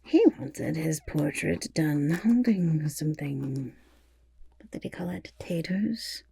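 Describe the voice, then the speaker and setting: A woman voicing a vain, excitable goblin artist in an ASMR roleplay, often whispering, with a gravelly creak and pompous drawn-out words. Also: sits low, slight background noise, heavily acted. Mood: boastful. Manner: third-person self-praise, pompous drawn-out words.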